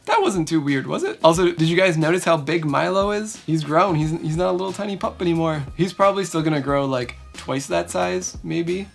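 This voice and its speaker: A young man in his twenties talks fast and cheerfully to the camera, his voice a little nasal from a head cold. His fairly high voice has a gravelly rasp at the ends of his phrases. Soft music plays under him in a dark studio. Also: expressive. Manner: quick, upbeat, chatty. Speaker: camera gear reviewer. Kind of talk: casual product review to camera. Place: dark indoor studio backdrop.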